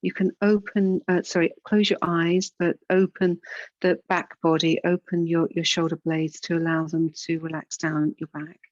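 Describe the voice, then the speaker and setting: A woman with a fairly low voice guides a relaxation practice as a yoga instructor. She speaks gently and without hurry, leaving pauses between instructions. The recording is dry and a little dull. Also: little pitch movement, no room sound. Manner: soothing, unhurried, gentle guidance with pauses.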